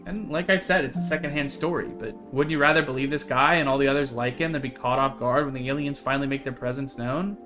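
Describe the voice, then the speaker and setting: A man's voice: mid-range voice, quick pace, hardly any pauses, over music, natural voice. A man voicing a chatty, carefree young woman in a visual novel, in a breezy, rambling storytelling manner. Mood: earnest, playful. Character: chatty, carefree young woman who hikes hunting cryptids.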